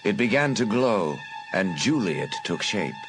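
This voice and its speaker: Brief: male storyteller, lowish lively voice, measured suspense, music underneath. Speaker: narrator. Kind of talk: scripted audiobook narration. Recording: narration over a music bed.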